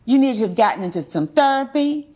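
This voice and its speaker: Lady tv judge, highish voice, stern, lecturing people from the bench, very emphatic. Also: animated, quick pace, warm tone. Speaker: TV courtroom judge. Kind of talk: courtroom questioning and ruling.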